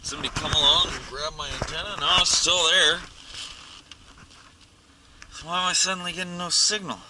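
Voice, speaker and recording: A man's voice: fairly high pitch, steady tone, normal speed. A man giving a casual hands-on tutorial from inside a car, talking offhandedly as he works, with some grumbling and swearing. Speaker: amateur how-to video maker. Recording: clear vehicle noise and clicks.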